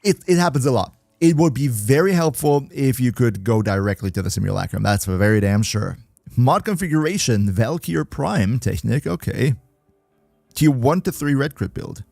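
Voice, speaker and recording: A man's voice: mid-range voice, lively intonation, moderate pace, gravelly, darkish tone, no echo, faint music behind. Man, casual livestream chatter, reads chat, jokey, dry close mic. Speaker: streamer.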